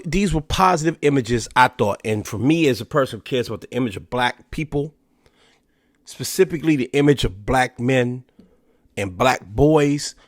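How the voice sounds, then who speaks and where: A man's voice: mid-range voice, dry recording, studio quality. A man hosting a podcast, talking in a casual, conversational way, a little stuffy and nasal from allergies. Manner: conversational, filler-heavy with "you know" and restarts. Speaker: podcast host. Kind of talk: casual solo commentary.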